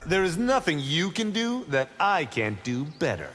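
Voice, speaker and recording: An actor playing a cocky, brash young martial-arts monk trading boastful, teasing banter in a video game. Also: male voice, a little high, big pitch swings, moderate pace, fairly bright tone. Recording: reverberant game audio with background noise.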